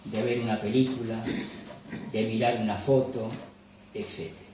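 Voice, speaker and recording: A man's voice: fairly low pitch, level tone, a little mellow, slight background noise. Formal man lecturing in an echoing hall. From Galicia. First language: Galician. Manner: measured, formal, reads dense theoretical prose. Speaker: lecturer and photographer. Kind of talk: reading a prepared academic lecture. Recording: very echoey hall from a distance.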